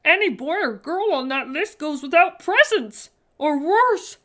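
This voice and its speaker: Woman as male elf, storybook character, high-pitched, swooping, anxious, fussy, earnest. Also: animated, unhurried, sharp tone, exaggerated voice. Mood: shivering dread. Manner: fretful, reciting rules earnestly.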